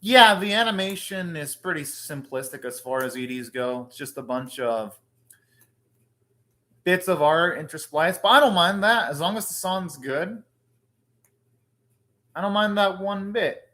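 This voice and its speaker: A man's voice: sits high, lively intonation, measured pace, long pauses, pushed and bright. A man hosting an anime reaction, commenting casually and thinking aloud in short, rambling remarks.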